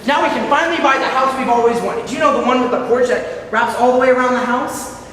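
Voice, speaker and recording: A woman's voice: high-pitched, steady tone, quick pace, a bit bright, a little hiss or hum. A woman acting in an amateur stage play as a fussy, easily flustered, long-suffering cousin. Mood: excited. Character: fussy, anxious, long-suffering cousin named Xavier, hopeful homebuyer. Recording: very echoey hall, distant mic.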